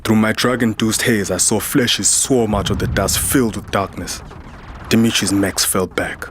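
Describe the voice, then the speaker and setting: A man's voice: fairly low pitch, steady tone, moderate pace, fairly bright tone, slight background noise, close to an everyday voice. A man playing a weary, defiant soldier, narrating a science fiction audio drama in a grave, dramatic manner. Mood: tense narration. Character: weary, defiant male human soldier narrating his ordeal. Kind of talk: first-person scripted audio drama narration.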